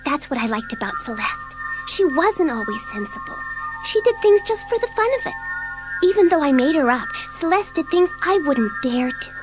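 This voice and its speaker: An excitable schoolgirl played by a woman speaks in a very high, wildly swooping voice. She is eager, headstrong and dramatic, as in a children's audiobook drama, with music underneath. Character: bored, impulsive, excitable schoolgirl dabbling in magic.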